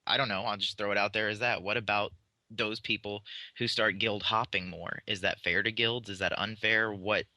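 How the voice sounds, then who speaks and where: A man's voice: lowish voice, steady tone, normal speed, sharp tone, no room sound. A man on a gaming podcast panel, casually putting questions to the others.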